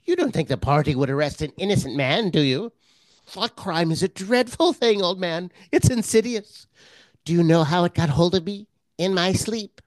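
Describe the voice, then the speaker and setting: A pathetically loyal middle-aged party man in a radio play, acted by a woman. He stammers and fawns, eager to please, with very animated pitch swings in a dry, close recording. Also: normal speed, no room sound, natural voice, rough recording. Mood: nervous, confiding. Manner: stammering, ingratiating. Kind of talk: radio drama acting.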